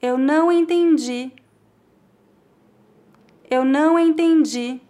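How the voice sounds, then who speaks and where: A woman with a Brazilian Portuguese accent teaching a pronunciation lesson, speaking with clear, deliberate enunciation for learners to repeat. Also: high-pitched, warm tone.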